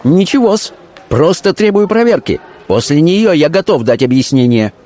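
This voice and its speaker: A man voicing an indignant, hot-headed young army officer in an audiobook, sharp, insistent and defiant. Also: on the high side, wildly swooping pitch, unhurried, pitched above the performer's own voice, heavily acted. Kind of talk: audiobook character acting.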